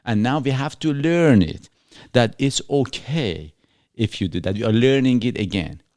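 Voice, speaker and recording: A man's voice: medium pitch, expressive, normal speed, a little mellow, no room sound, clean studio sound. A man from Iran with a Persian accent, hosting a casual chat and asking playful, probing questions. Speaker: host. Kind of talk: casual conversation.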